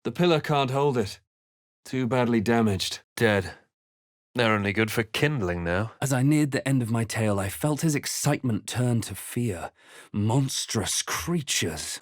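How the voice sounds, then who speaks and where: A man voicing a brooding adventurer hero in a game, narrating his perils in a measured, grave manner. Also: on the low side, no room sound, clean studio sound. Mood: wary, muttering. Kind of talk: dramatic game narration and short character remarks.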